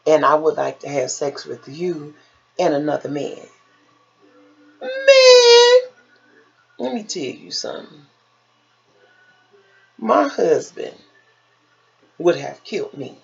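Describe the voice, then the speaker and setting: Woman storyteller, raspy and wildly animated. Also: low voice, slow pace, long pauses, muffled recording. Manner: emphatic, theatrical, quoting conversations with rhetorical questions. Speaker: vlogger telling personal stories.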